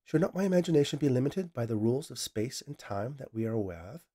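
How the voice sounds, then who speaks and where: A man voicing a female AI lab assistant, speaking in a flat, precise, matter-of-fact way. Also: mid-range voice, fast, pitched above the performer's own voice, close to an everyday voice. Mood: dispassionate.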